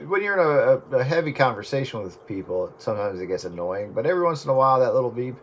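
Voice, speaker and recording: Male radio hobbyist, mid pitch, informal chat, light static on a two-way set. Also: sing-song, quick pace. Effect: two-way radio transmission. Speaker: radio hobbyist who records the sessions. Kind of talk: casual sign-off chat over radio. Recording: CB radio signal with faint static.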